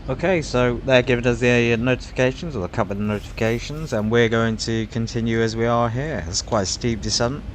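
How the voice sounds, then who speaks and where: A man's voice: medium pitch, a little fast, few pauses, slight background noise. A man giving casual, musing commentary while streaming a flight simulator, with frequent fillers.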